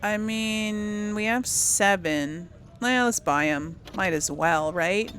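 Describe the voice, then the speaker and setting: A woman's voice: medium pitch, takes it slowly, fairly bright tone, slight background noise. A woman hosting a casual gaming let's play, thinking aloud in a hesitant, conversational way. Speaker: gaming video host.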